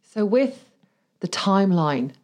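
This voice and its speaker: A woman's voice: mid-range voice, big pitch swings, slow, darkish tone. A woman co-hosting a podcast in casual conversation, informal and questioning in her way of talking. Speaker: podcast co-host.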